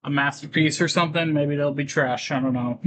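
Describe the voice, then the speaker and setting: A man doing casual livestream commentary on a game, reading on-screen text aloud and halting to break into asides. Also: fairly high pitch, slight background noise.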